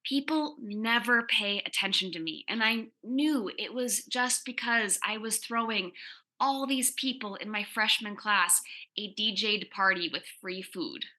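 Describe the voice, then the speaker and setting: A woman reading the part of an excitable, nerdy teenage girl in a comic reading, gushing and self-deprecating. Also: mid-range voice, measured pace. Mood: confiding, wry. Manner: gushing, chatty teenage exaggeration. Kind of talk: dramatic comic reading, first-person teen narration.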